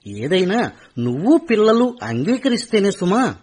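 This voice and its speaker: A man's voice: high voice, very animated, unhurried, darkish tone, husky, exaggerated voice. An actor playing a retired male soldier who pleads earnestly with his wife to let him serve again. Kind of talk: radio drama acting.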